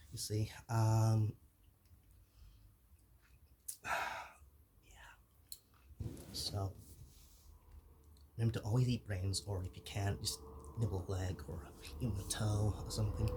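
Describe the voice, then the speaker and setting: Shy, soft-natured zombie played by a man, ASMR roleplay, unhurried halting delivery, noticeable background noise. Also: fairly low pitch. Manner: halting, stammering, apologetic, soft-spoken. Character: shy, soft-natured zombie, hesitant but hungry for brains. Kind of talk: whispered ASMR roleplay addressed to the listener.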